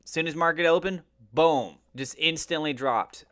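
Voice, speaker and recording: A man's voice: on the high side, moderate pace, fairly bright tone, smooth. A man hosting a finance video, talking casually to viewers in an emphatic, colloquial way with pointed rhetorical questioning. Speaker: finance and crypto video host. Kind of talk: casual market commentary to viewers.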